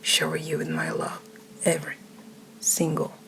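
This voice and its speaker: A woman voicing a dominant, flirty, possessive male CEO in a breathy, often whispered, slow and smug manner for an ASMR romance roleplay. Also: very deep voice, very animated, pitched below the performer's own voice, exaggerated voice. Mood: possessive, tender.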